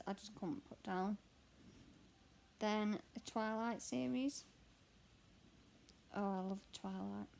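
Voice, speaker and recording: A young woman with a croaky voice, chatting candidly and rambling while she stitches at home. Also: medium pitch, darkish tone. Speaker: cross stitch vlogger and mother. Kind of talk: casual chat answering a question tag while stitching.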